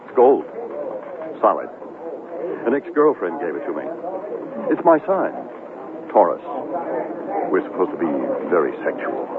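Seeking a self-satisfied smooth-talking newsman for radio drama, deep and very animated in delivery. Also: a little slow, long pauses, background noise, full character voice. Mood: flirtatious. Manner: suave, self-satisfied, condescending. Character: smooth, boastful, flirtatious New York television reporter. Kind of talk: 1970s radio drama acting. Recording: tinny vintage radio broadcast, music.

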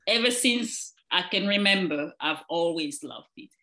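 A woman's voice: fairly low pitch, animated, unhurried, bright, forceful tone. A woman from Cameroon, a nurse practitioner guest, chatting and explaining plainly, laughing readily. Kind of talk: casual interview chat.

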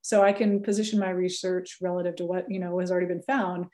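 A woman's voice: lowish voice, flat delivery, fast talker, dark tone. A woman in her thirties, a researcher and teacher, talking casually in an interview, full of fillers and self-corrections with frequent laughs. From California.

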